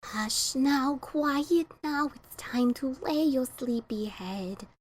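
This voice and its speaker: Woman acting high, anxious motherly cartoon pony. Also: slow. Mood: tender, soothing. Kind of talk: fan audio drama dialogue.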